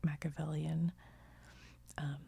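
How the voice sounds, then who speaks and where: Middle-aged woman for whispered ASMR chat: low, breathy, gentle, trailing off. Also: level tone, takes it slowly, dry recording. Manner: gentle, chatty, laughs softly, trails off. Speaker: video creator. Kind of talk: soft-spoken ASMR whispering.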